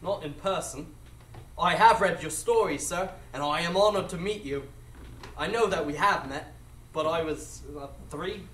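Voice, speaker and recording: A man's voice: fairly high pitch, moderate pace, fairly bright tone, lightly acted. A man playing a polite, apologetic younger brother in a live radio drama, earnest and hesitant. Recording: echoey live stage, distant, faint noise.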